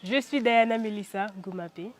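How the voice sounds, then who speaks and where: A woman's voice: mid-range voice, moderate pace, loud music behind the voice. A young woman from the Central African Republic giving casual interview answers, chatty and often hesitating with "euh". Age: young adult. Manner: chatty, frequent hesitations with "euh", laughs now and then. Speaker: interviewee, beauty pageant titleholder.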